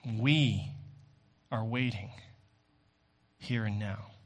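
A man preaching a sermon in an earnest, deliberate manner with rhetorical phrasing. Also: on the low side.

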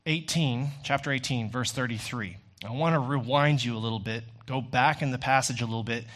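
A man preaching an expository sermon in an emphatic, deliberate manner, with repeated phrases and rhetorical questions. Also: high voice, fairly bright tone.

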